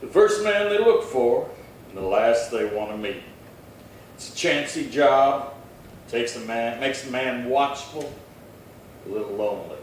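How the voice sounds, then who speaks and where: An actor playing a steady, watchful western marshal with a measured, firm delivery, in old-time radio drama style. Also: male voice, medium pitch, natural voice. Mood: grave, proud. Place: little theatre stage, distant mic. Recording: very echoey hall, far from mic, faint noise.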